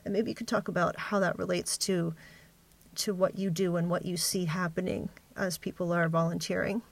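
A woman hosting an interview in a reflective, measured way, pausing between phrases. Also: lowish voice, steady tone, vocal fry, no room sound. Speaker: interview host.

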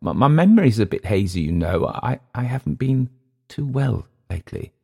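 A man voicing a bitter, dissolute Englishman with an English accent, sneering and halting by turns. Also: medium pitch, very animated, vocal fry, dark tone, slightly rough, pitched above the performer's own voice, full character voice. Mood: uneasy.

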